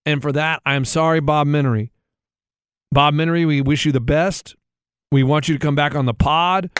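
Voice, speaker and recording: A man with a fairly high voice hosts a casual comedy sports podcast. He is excitable, circles back over his phrases and acts out both sides of conversations. The sound is close and very dry. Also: moderate pace, clear, resonant tone, dry recording. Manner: excitable, repeats himself, acts out dialogue. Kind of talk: casual comic banter and storytelling.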